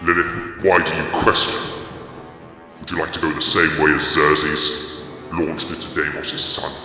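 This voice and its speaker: A man's voice: a bass voice, level tone, unhurried, sharp tone, faint music behind, exaggerated voice. A man playing a scheming alien lord who threatens and commands those beneath him. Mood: cold threat. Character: scheming male alien lord, cold and menacing. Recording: echoey lo-fi fan audio drama.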